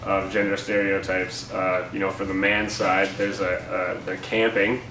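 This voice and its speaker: A young man co-hosting a casual satirical show, joking in a sarcastic, deadpan way. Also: low-pitched, moderate pace. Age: young adult. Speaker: co-host of a campus humour paper's show. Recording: roomy, distant mic, faint noise.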